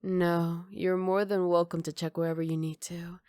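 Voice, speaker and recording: A woman playing a flirty, self-assured villainess in an ASMR roleplay, speaking softly and often near a whisper in a sly, unhurried, mock-polite way. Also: on the low side, little pitch movement, dry recording, studio quality, lightly acted. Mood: coy, mock-polite.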